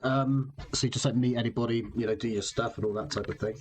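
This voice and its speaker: A man's voice: medium pitch, steady tone, quick pace. Man, Somerset accent, rambling casual talk, self-deprecating, faint background hum. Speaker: podcast host. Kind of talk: casual chat.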